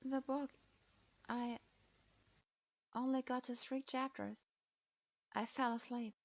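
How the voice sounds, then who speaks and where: A woman voicing a tired, timid young girl student who answers quietly and hesitantly. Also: fairly high pitch, fairly even intonation, slow, no room sound. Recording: dull lo-fi.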